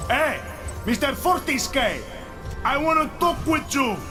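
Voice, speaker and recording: A boastful undead axe warrior from a fantasy game, bellowing indignantly in a very high voice. He declaims slowly and savagely, with music clearly heard behind him. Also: lively intonation. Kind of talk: fantasy game character acting.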